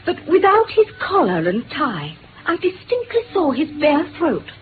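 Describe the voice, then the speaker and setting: A high, expressive voice on a thin vintage radio recording: a genteel wife. She is anxious and earnest, clinging to hope with open emotion in 1950s drama style. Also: unhurried, narrow, tinny audio, a little hiss or hum, exaggerated voice. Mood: troubled recollection.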